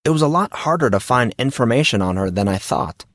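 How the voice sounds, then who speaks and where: A man's voice: mid-range voice, animated, fairly quick, fairly bright tone, no echo, clean studio sound, lightly acted. A man voicing a sheepish teenage schoolboy who talks in a slangy, defensive way.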